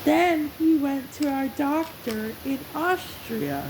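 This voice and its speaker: A performer voicing a female racing driver who reads a children's story aloud in deliberate, spaced-out phrases, on a hissy recording. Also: high voice, creaky, voice pushed up, full character voice. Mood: presenting, measured. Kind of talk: scripted story narration with self-introduction. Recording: hissy, noisy home recording.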